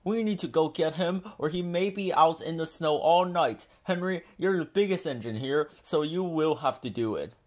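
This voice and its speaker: A stern, commanding railway boss from a storybook, acted out by a man. His voice is lifted in pitch and gives orders firmly. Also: normal speed, hardly any pauses, darkish tone, lightly acted. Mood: urgent.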